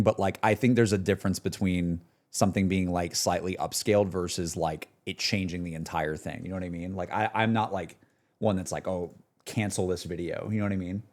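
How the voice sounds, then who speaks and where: A man's voice: low-pitched, a little fast, darkish tone. A man livestreaming in a casual, slangy, repetitive way, talking to his chat and reacting off the cuff. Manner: slangy, repetitive, full of "like", "bro" and "dude". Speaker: streamer.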